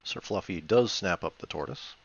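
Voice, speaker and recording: A man's voice: low-pitched, expressive, moderate pace, creaky, breathy edge, highs cut off. A man giving casual commentary on a board game replay, thinking aloud in a hesitant, matter-of-fact way. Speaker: board game player reviewing own replay.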